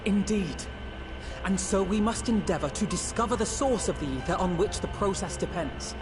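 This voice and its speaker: A man's voice: high voice, lively intonation, moderate pace, music in the background. An actor playing an earnest, eloquent young male scholar in a fantasy game, speaking formally and articulately. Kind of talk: dramatic fantasy game dialogue.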